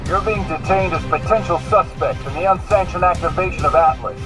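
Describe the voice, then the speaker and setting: A male actor plays a stern game army officer with a slightly high voice. He is curt, suspicious and authoritative, with music clearly behind him. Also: a little fast, close to an everyday voice. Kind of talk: English-dubbed video game cutscene acting.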